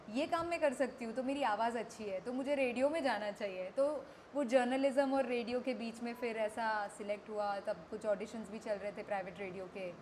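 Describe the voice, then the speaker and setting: A high-voiced woman with an Indian accent talks as a guest in a candid interview. She is reflective and slips between Hindi and English phrases. Some noise sits behind her voice. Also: a little mellow. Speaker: interview guest, radio jockey and environmental activist.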